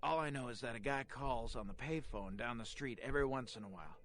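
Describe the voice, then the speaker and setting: An actor playing a sleazy, cagey porn shop owner in a video game, with brash, slangy street talk. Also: male voice, medium pitch, quick pace, fairly bright tone, slight background noise, natural voice. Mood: cagey, uneasy.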